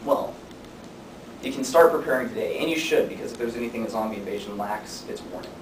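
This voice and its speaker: A young man giving an informative student speech in a conversational way with deadpan humor. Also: fast. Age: young adult. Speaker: student speaker. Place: classroom. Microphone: distant camera microphone. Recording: distant mic, echoey room, noisy rumble.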